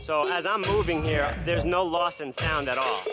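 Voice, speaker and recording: A man's voice: on the high side, moderate pace. A man casually reviewing DJ sound gear, chatty and upbeat with plenty of fillers, during a sound check. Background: loud music playing.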